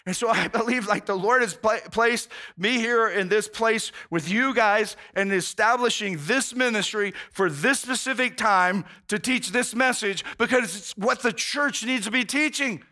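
A man's voice: high voice, moderate pace, bright, forceful tone. A male pastor preaching a conversational sermon, earnest and informal, often restarting phrases and saying "man".

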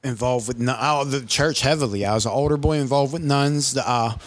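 A man's voice: mid-range voice, brisk. A man co-hosting a podcast, chatting casually and laughing as he tells stories. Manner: joking, laughs while telling stories. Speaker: podcast co-host. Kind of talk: casual chat.

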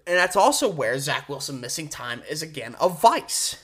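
A man's voice: a little high, very animated, normal speed, a bit bright, breathy edge. A man hosting a solo sports show, giving opinionated commentary with emphatic delivery and words stretched out for stress. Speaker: sports podcast host.

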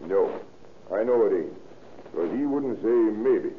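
An actor playing a plain-spoken, suspicious country neighbor in a 1930s radio crime drama. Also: male voice, lowish voice, expressive, a little slow. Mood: insistent. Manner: plain-spoken, folksy, insistent. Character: folksy, suspicious rural neighbor man helping the lawmen. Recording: tinny old radio recording, faint noise.

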